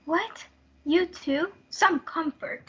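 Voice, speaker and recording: A desperate, defiant girl in love, acted by a young woman in a stage-play reading. Her voice is high and bright. The recording is slightly muffled. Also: slow pace, full character voice.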